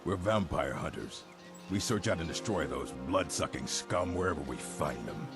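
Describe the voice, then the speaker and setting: Very deep, stern vampire hunter. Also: male voice, animated, moderate pace, vocal fry, over music, exaggerated voice. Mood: stern resolve. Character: grim male vampire hunter recruiter, stern and resolute. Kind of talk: fantasy video game dialogue.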